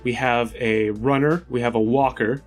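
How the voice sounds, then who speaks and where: A man's voice: medium pitch, steady tone, moderate pace, soft background music. A man playing a tabletop game, chatting casually and tentatively as he thinks aloud.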